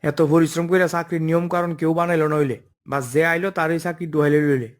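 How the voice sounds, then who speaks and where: A man's voice: on the high side, steady tone, fast, lightly acted. A man voicing a stern, by-the-book office boss in a comic cartoon, bossy and explanatory, with an eastern Bengali dialect. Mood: stern, instructing. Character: stern, by-the-book male office boss holding job interviews.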